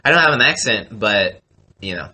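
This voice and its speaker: A man from Mexico hosting a casual interview, chatty and quick to laugh, with lots of fillers, recorded on a phone in a church office. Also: on the low side, expressive, pushed and bright, a little hiss or hum, rough recording. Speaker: podcast host. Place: church campus center office. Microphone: phone on a table.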